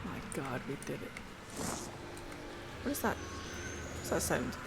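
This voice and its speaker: A woman's voice: extremely low pitch, big pitch swings, measured pace, creaky, loud music behind the voice. Chatty Scottish woman streaming a game. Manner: chatty, swears freely, talks to her chat. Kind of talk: casual gameplay commentary and dramatic codex reading.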